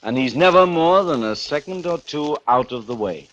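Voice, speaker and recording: An actor playing a composed, precise asylum doctor in a 1940s radio drama. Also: male voice, on the high side, lively intonation, moderate pace, close to an everyday voice. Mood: composed, clinical. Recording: dull, lightly noisy vintage radio recording.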